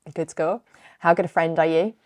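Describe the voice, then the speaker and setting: A woman hosting a podcast interview, asking quick-fire questions with lots of encouraging affirmations. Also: low-pitched, steady tone, a little mellow, no echo. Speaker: podcast host.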